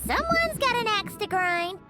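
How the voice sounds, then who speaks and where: A woman voicing a small, chirpy, childlike fairy companion in a video game, squeaky, excitable and blunt. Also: unhurried, over loud music, full character voice. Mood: cheeky, doubtful. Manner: exclamatory, refers to self in third person. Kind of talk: video game character acting.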